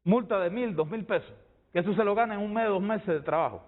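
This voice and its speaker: A middle-aged man with a Cuban accent testifies in a heated panel debate. His voice is notably high and he repeats phrases for emphasis. The sound is dull and band-limited. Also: fast. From Cuba, rural. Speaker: debate guest, doctor.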